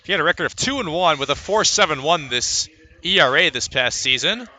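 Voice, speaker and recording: A man calling live baseball play-by-play as a radio announcer, conversational between pitches and lifting his delivery for the action. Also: a little fast. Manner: lifts into excitement on plays, conversational between pitches.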